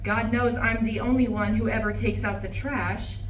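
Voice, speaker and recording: A woman's voice: on the high side, steady tone, normal speed, lightly acted. A woman acting a bitter, betrayed wife in an emphatic, indignant monologue at a live play reading. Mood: bitter, brooding. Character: bitter, betrayed wife venting to her plants. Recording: roomy, distant live recording, faint noise.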